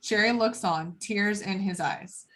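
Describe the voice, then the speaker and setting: A woman with a middle-pitched voice narrates a film script. She reads short action lines and stage directions in sequence, as at a table read. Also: measured pace, a bit bright. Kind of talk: reading screenplay action lines.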